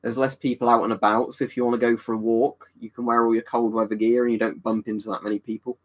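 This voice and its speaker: A man's voice: medium pitch, little pitch movement, quick pace. A man, casually chatting and answering viewers on a livestream. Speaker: livestream host reading chat. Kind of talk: casual chat.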